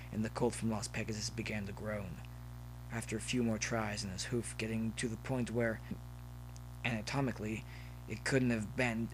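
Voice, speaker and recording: A man's voice: fairly low pitch, a little hiss or hum, poor audio. A man reading a story aloud as narrator in an amateur recording. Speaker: audio fiction reader. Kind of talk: scripted story narration with asides.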